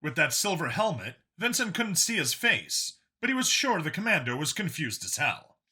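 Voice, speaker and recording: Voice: mid-range voice, very animated, normal speed, bright, forceful tone, breathy edge. A narrator reading a scripted science fiction story as an audiobook.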